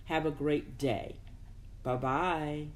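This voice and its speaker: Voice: medium pitch, fairly even intonation, slow pace. A host giving a casual motivational talk in a chatty, encouraging way, wandering into asides.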